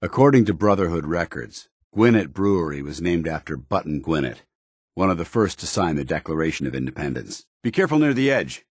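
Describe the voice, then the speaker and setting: An actor playing a stern, principled military paladin in a video game, speaking in a formal, earnest, commanding soldierly way. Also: male voice, low voice, sing-song, fairly quick, no echo, studio quality. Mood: watchful, businesslike. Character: stern, principled male military paladin, loyal to his order. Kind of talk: video game companion dialogue.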